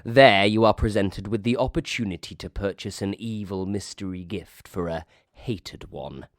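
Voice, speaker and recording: A man with a Northern English accent reading a comic ghost story aloud, lively, lifting into high voices for the quoted characters. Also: normal speed, dry recording, studio quality. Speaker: reader.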